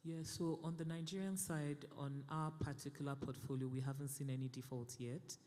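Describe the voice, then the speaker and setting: A man on a conference panel answering in a relaxed way, joking with the audience. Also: fairly high pitch, normal speed. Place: conference hall. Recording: long hall reverb.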